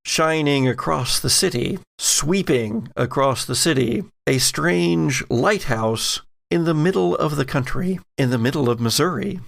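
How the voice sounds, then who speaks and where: A man with a creaky, gravelly edge runs a tabletop game. He tells the story slowly and dramatically, then slips into casual asides as game master. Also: a little high. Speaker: game master of an actual-play podcast. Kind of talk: narrating a tabletop roleplaying game.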